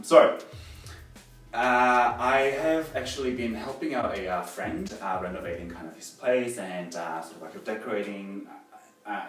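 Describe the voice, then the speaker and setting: A man's voice: on the low side, distant mic. A young man from Korea chatting casually to his camera, rambling and self-conscious with lots of fillers. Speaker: DIY vlogger. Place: echoey room alone with a camera. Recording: echoey, off-mic, soft music.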